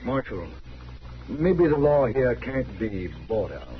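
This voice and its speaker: Folksy, shrewd frontier homesteader. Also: male voice, medium pitch, very animated, slow. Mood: sly, challenging. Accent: rural American. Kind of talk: old-time radio western drama acting. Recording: muffled old radio broadcast, faint noise.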